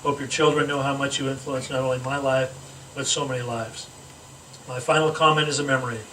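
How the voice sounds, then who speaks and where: A man reading a comedic roast speech aloud to a gathering, teasing with deliberate pauses. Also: mid-range voice, level tone, bright, forceful tone. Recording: echoey room, distant mic, noisy background.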